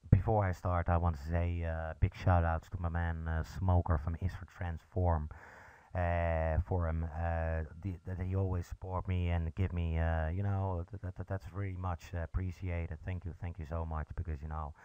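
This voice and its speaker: Deep-voiced Dutch man, laid-back and rambling. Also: little pitch movement, normal speed, clean tone, no echo. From Netherlands (Amsterdam). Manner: laid-back, hesitant, rambling with frequent "you know". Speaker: music production tutorial host. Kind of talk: casual screen-recorded tutorial. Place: indoors at a computer, voice over screen recording. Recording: dull, lo-fi home recording.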